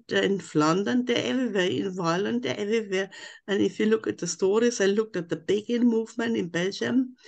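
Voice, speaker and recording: A woman's voice: medium pitch, gravelly, darkish tone. An older woman with a German accent, a native German speaker, telling a long personal account on a video call. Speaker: webinar participant.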